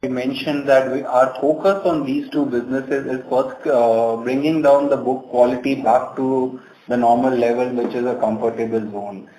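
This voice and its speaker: A man's voice: medium pitch, fairly even intonation, moderate pace, few pauses, dark tone. A man with an Indian accent speaking as a company executive, explaining answers on a conference call. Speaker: bank executive answering questions. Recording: roomy conference call, faint noise.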